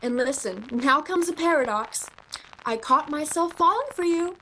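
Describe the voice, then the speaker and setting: High-voiced, earnest young shopgirl played by a woman. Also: expressive, normal speed, pushed and bright, a little hiss or hum, rough recording. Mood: confiding, earnest. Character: young shop clerk woman, romantic, earnest and bookish. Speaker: amateur actor. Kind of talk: radio play acting.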